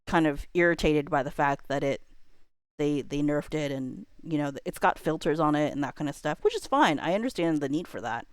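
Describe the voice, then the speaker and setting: A woman in her thirties talks in a low register, recorded dry with hardly any room sound. She chats reflectively like a streamer addressing viewers. Her delivery rambles, with hedged phrases and thoughts left trailing. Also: smooth, dry recording. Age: millennial, around 30s. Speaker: livestreamer. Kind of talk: casual reflective chat to viewers.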